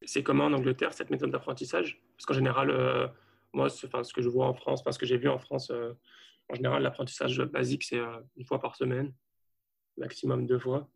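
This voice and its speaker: A man's voice: medium pitch, level tone, a little fast, gravelly, slightly rough. A man hosting a podcast, asking his guest questions in a friendly, encouraging way. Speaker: podcast host. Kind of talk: interviewing a guest.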